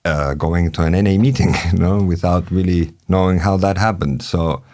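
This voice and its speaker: A man's voice: deep voice, fairly even intonation, moderate pace, a little mellow. A man hosting an interview podcast, talking in a chatty way and tagging his sentences with "no?". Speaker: podcast host. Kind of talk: conversational interviewing.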